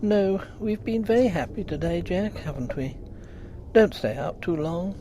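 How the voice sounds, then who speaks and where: A man voicing a gentle young wife, soft and hesitant. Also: sits high, lively intonation, measured pace, slight background noise, voice pushed up. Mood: tender.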